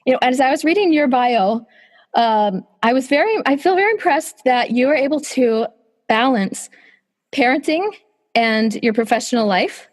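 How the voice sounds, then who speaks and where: A woman's voice: high-pitched, lively intonation, moderate pace. A woman hosting an interview podcast, with a scratchy voice today, reading her intro and then chatting eagerly with her guest. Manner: enthusiastic, reads intro script then chats. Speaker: podcast host.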